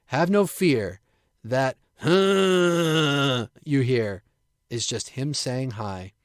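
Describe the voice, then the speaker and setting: A man's voice: medium pitch, expressive, slow, no room sound, clean studio sound. A man reciting his own playful rhymed poem. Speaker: poet reading own work.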